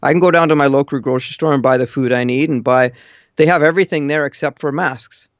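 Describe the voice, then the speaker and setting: A man's voice: on the high side, quick pace, no echo. A man calling in over an online voice call, chatting casually with blunt, matter-of-fact opinions. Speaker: caller living in Japan. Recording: muffled lo-fi internet call.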